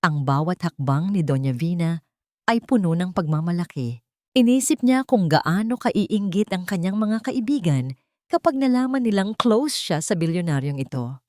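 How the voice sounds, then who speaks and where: A woman's voice: fairly low pitch, expressive, normal speed, darkish tone, dry recording, clean studio sound. A woman storyteller reading scripted fiction in a dramatic narration style.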